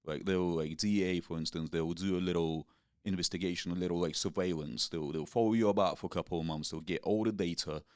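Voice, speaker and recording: A man, Russian-born, talking in a low, deep voice. His speech is loose and peppered with slang and swearing. The sound is close and dry. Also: steady tone, quick pace, a little mellow, clean tone, dry recording. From Russia, raised in England. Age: 40s. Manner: laid-back, swears freely, British slang. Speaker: guest. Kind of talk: casual interview chat.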